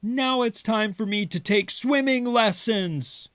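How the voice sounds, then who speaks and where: A man voicing a gentle, cautious father mouse from a storybook, musing in an expressive, deliberate way. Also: high-pitched, dry recording, studio quality, voice pushed up. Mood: puzzled, sheepish.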